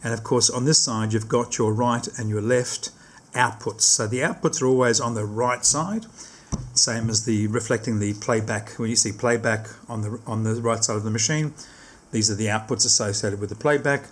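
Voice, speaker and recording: A man's voice: medium pitch, normal speed. A man giving a casual hands-on product demonstration, explaining step by step and often rephrasing his instructions.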